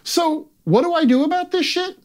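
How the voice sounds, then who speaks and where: A man's voice: extremely high pitch, normal speed. A man reading his own opinion column aloud as a scripted comic rant, brash and sarcastic, with theatrical emphasis and plenty of swearing. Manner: brash, profane.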